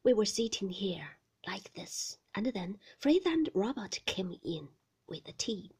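A woman reading a novel in a breathy whisper, voicing the lines of a troubled, guarded older husband. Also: mid-range voice, very animated, a little slow, a little mellow, heavily acted. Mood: quiet.

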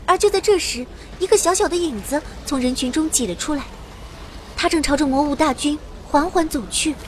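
A woman plays a young heroine telling her fantasy adventure as radio drama. Her high voice is expressive and dramatic in delivery, with clear noisy effects behind it. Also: fairly quick, natural voice. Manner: expressive storytelling. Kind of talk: scripted dramatic radio narration.

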